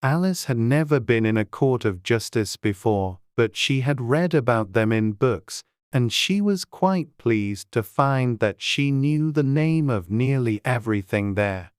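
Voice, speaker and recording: A man's voice: mid-range voice, lively intonation, a little slow, hardly any pauses, smooth, no room sound. Dry, close male storybook narrator. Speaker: audiobook narrator.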